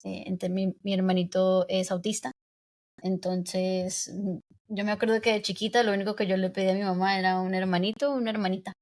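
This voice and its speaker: A young woman raised in the United States, a podcast guest speaking reflectively in a casual interview, pausing often with fillers. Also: medium pitch, level tone, a little mellow. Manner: reflective, hesitant with many fillers.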